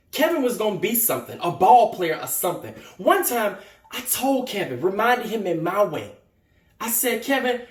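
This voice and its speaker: A man's voice: high-pitched, distant mic. A man performing a dramatic stage monologue as a wounded, indignant young man, theatrical and emphatic. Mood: bitter recounting. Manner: theatrical, emphatic, swinging from outburst to hushed hurt. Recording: echoey room.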